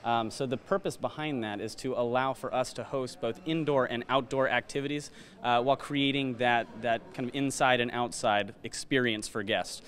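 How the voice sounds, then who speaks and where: A male arts center guide explains a building during a walking tour in a large glass-walled lobby. His pitch sits in the middle range for a man. He often pauses on fillers while he explains. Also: fairly even intonation, fairly bright tone. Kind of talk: informative guided tour talk.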